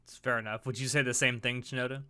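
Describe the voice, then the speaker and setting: Bright-voiced man hosting a podcast. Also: mid-range voice, little pitch movement, quick pace, clear, resonant tone. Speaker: podcast host. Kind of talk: casual podcast talk.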